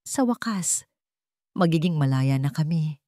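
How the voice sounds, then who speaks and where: A woman's voice: sits low, lively intonation, measured pace, mellow, dry recording, studio quality. Woman voicing a wistful, lovelorn young Filipina teacher. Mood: secretive, hopeful.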